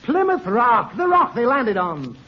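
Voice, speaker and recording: A man's voice: extremely high pitch, huge pitch swings, unhurried, crowd noise, exaggerated voice. An actor playing a long-suffering father, pedantic and flustered, in old radio comedy. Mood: growing exasperation. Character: exasperated, long-suffering father explaining things to his daughter. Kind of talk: 1940s radio comedy acting. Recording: muffled noisy old radio recording, studio audience.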